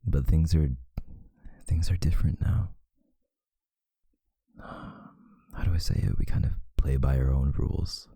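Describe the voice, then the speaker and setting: Man playing a very deep, gravelly vampire lover. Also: fairly even intonation, dry recording, studio quality, exaggerated voice. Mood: gentle, explaining. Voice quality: very deep, gravelly, husky, breathy, often near a whisper. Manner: slow, hushed, soothing, deliberate pauses. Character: tender, protective male vampire lover, ancient and solemn. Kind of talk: intimate ASMR boyfriend roleplay.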